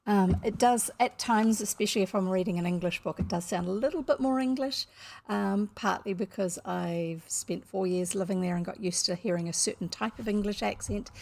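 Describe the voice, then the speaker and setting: Kiwi woman, storytelling streamer, mid-range voice with some fry, loose and chatty. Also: medium pitch, darkish tone. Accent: New Zealand. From New Zealand. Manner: rambling and chatty, many fillers. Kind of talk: casual chat to viewers.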